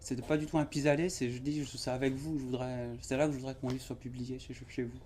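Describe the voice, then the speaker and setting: A man with a mellow, warm tone talks about his writing at a bookshop event, speaking in a relaxed and halting way. He often stops mid-sentence and fills the gaps with small words. A faint hum of the room sits behind him. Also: mid-range voice. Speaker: author at his book launch. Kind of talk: casual conversational interview. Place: bookshop event before an audience.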